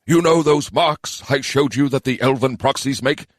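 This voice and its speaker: A man's voice: mid-range voice, normal speed, no echo, studio quality, voice pushed up, natural voice. A man voicing a scheming, irritable goblin mayor in an audiobook, grumbling and giving orders. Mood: scheming, commanding. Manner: grumbling, commanding. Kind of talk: audiobook character voice.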